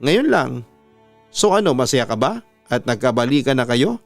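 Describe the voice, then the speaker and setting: A man's voice: mid-range voice, very animated, normal speed, gravelly, no room sound, faint music behind. A man voicing a heartbroken, accusing young woman. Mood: hurt, sarcastic. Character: heartbroken twenty-year-old woman, bitter, accusing, pleading.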